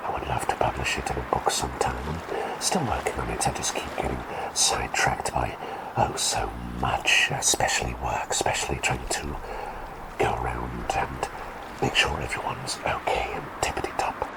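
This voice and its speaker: A man voicing a quirky male talking bird plague doctor, telling rambling bedtime stories in a soft ASMR whisper. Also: fairly even intonation, moderate pace, low-quality recording. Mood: gentle whisper. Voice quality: deep creaky whisper. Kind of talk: ASMR roleplay bedtime storytelling.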